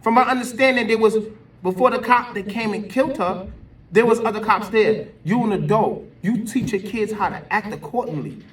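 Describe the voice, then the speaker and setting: A high-pitched American man delivers off-the-cuff commentary for social media, heard at some distance from the microphone. He talks in an emphatic, colloquial way and often repeats himself to drive a point home. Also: fairly bright tone. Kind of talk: unscripted opinion rant to camera. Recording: voice distant from the mic.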